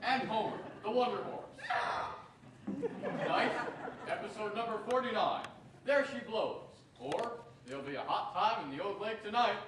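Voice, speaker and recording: A man's voice: sits high, moderate pace, lightly acted. A young man playing an upbeat, grand old-time radio announcer and narrator on stage. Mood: excited. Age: young adult. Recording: very echoey theater, distant mic, faint noise.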